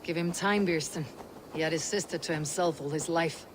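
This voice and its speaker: A woman plays a hardy young Norse warrior woman in a video game. Her delivery is measured and dry, with plain, wry phrasing. Faint outdoor ambience sits behind her. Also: low voice, background noise, lightly acted. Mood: probing. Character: hardy young adult female Viking warrior, steady, wry. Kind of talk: video game cutscene acting.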